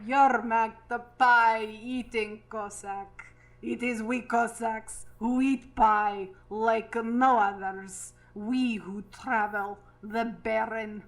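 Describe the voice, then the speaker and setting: A woman playing a solemn, long-winded Cossack storyteller with a ponderous, drawn-out delivery in a comic audio drama. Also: a little high, steady tone. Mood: solemn, wistful.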